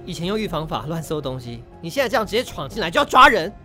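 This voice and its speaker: An actor playing a defiant, devoted husband in a radio drama, indignant and confrontational. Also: male voice, on the high side, sing-song, fast, sharp tone, soft background music, heavily acted. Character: defiant, devoted young husband facing arresting officers.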